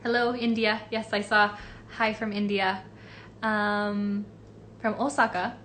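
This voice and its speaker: A woman's voice: mid-range voice, little pitch movement, takes it slowly, fairly bright tone, slight background noise. A young woman from Los Angeles, a voice actress casually answering fan questions on a live stream, reading comments aloud with lots of fillers.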